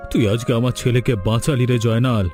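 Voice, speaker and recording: A man's voice: mid-range voice, little pitch movement, quick pace, music in the background, close to an everyday voice. A man voicing a stern but generous village landlord and father in a cartoon folk tale. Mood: grateful, generous.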